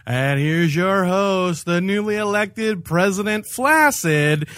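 A man's voice: sits high, animated, takes it slowly, a bit bright, no echo, studio quality. A man co-hosting a podcast with jokey banter and a cheeky, irreverent manner. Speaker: podcast co-host and announcer.

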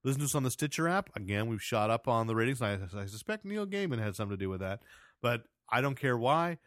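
Loud podcast host guy, rambly, dry close sound. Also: medium pitch, lively intonation, quick pace, no room sound. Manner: rambling, self-correcting, chatty plugs. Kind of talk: casual podcast chat.

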